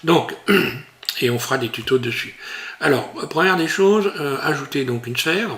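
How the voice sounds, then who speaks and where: A man teaching a software tutorial while he works on screen, talking in a hesitant, casual way full of fillers and restarts. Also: medium pitch, lively intonation, a little slow. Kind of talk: screen-recorded tutorial narration.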